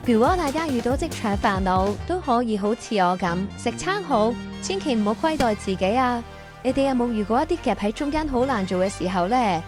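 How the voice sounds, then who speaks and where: A woman's voice: on the high side, expressive, a little fast, music in the background. A woman with a Hong Kong Cantonese accent hosting and narrating a scripted workplace audio drama. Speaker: host and narrator.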